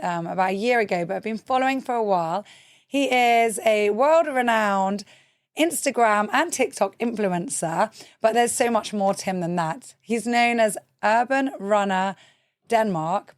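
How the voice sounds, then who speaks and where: A woman's voice: medium pitch, moderate pace, no echo, studio quality. A woman hosting a podcast, eager and enthusiastic as she welcomes and interviews a guest. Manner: warm, enthusiastic, eager to praise. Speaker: podcast host. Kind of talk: casual interview.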